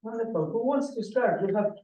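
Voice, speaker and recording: A woman's voice: a little high, expressive, brisk, dark tone, slight background noise. A woman from Sweden moderating a conference panel, friendly and lightly teasing. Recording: echoey room, distant dull mic.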